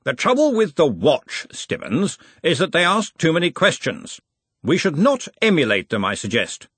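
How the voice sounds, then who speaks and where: A man doing a grand old wizard chancellor in a storybook, booming and pompous, his voice swooping up and down wildly. The tone is husky and breathy. It sits close and dry. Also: wildly swooping pitch, normal speed, a bit bright, no room sound, exaggerated voice. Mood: probing. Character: blustering elderly male wizard head of a university.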